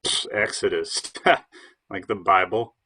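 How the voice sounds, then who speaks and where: A man's voice: low voice, takes it slowly, sharp tone, slightly rough, heavily acted. A man playing a hesitant, stammering, defensive would-be bohemian in his late thirties in an audio play. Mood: proud, defensive.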